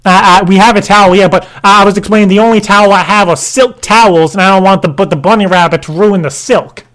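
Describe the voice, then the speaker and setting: A man playing an earnest, clueless, stubborn dad, stammering and deadpan as he repeats himself in an improvised prank call. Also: high-pitched, fast talker. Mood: stubbornly puzzled. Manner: hesitant, stammering, repetitive, deadpan.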